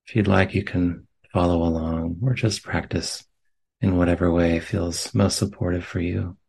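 A man leading a guided meditation as a teacher, speaking gently and unhurriedly in short phrases with long pauses. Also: deep voice, steady tone, vocal fry, slight background noise. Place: meditation center.

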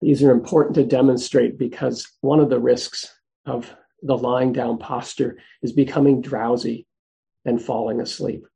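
A soft-spoken man teaches meditation, pausing often as he gently explains each posture. His low-energy voice is dark and mellow, with a gravelly edge at the bottom of his range. He talks at an easy, patient pace. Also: steady tone, poor audio. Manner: gentle, unhurried, with frequent pauses and fillers. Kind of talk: guided meditation instruction.

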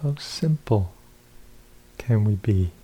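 A man giving guided meditation instructions as a teacher, calm and unhurried, with long pauses between short phrases. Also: fairly low pitch, husky, rough recording.

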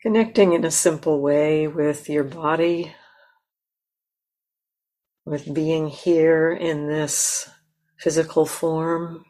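Slow, low-voiced woman guiding meditation. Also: fairly even intonation. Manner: gentle, unhurried, with long pauses between short phrases. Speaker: meditation teacher. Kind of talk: guided meditation.

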